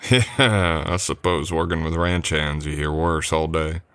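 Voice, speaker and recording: A man playing a polite, easygoing drifter cowboy in an old west roleplay, soft-spoken, with folksy, slightly hesitant courtesy. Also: rumbling low, fairly even intonation, measured pace, smooth. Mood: thoughtful, curious. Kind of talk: ASMR roleplay acting, addressing the listener.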